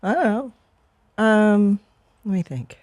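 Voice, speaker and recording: Middle-aged female podcast co-host, medium pitch, informal and frank, relaxed conversational delivery. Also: takes it slowly. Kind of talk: candid casual couple chat.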